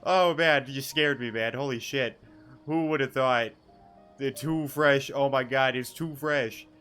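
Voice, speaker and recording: A man's voice: mid-range voice, a little slow, fairly bright tone, clean tone, faint music behind. A man doing casual let's-play commentary on a video game, joking, sarcastic and sweary. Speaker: gaming streamer.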